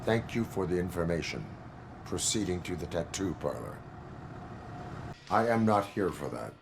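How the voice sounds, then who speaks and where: A man playing a stern robotic cyborg police officer in a video game, speaking in a formal, clipped, deadpan way. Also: low voice, moderate pace, gravelly, background noise. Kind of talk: video game cutscene acting.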